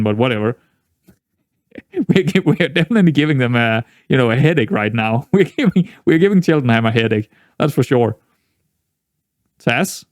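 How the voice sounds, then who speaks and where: A young man with a Danish accent, a native Danish speaker, chatting casually through a football management game, rambling with many restarts and jumping into excited outbursts. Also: mid-range voice, no echo, clean studio sound. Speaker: gaming YouTuber.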